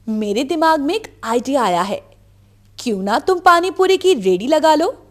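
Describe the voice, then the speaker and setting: Woman playing a practical wife, storybook dialogue, high pitch, swooping emphasis, persuasive, earnest. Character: worried, practical village wife urging her husband.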